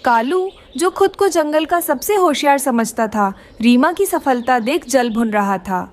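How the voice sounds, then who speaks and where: A woman narrating a children's cartoon story with expressive storytelling. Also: sits high, a little slow.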